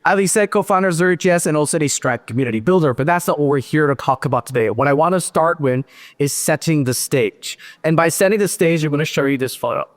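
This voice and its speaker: A man in his thirties giving a lively, joking tech conference talk from a large hall stage. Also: on the high side, fast talker. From Pakistan and Switzerland. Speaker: conference speaker. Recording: hall reverb.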